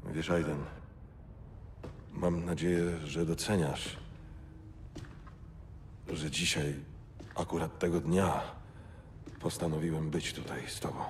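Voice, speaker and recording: A man's voice: very deep voice, steady tone, slight background noise, heavily acted. A man playing a bitter, menacing military villain out for revenge in a video game, gloating theatrically. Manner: slow-burning, gloating, theatrical. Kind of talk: dramatic video game acting.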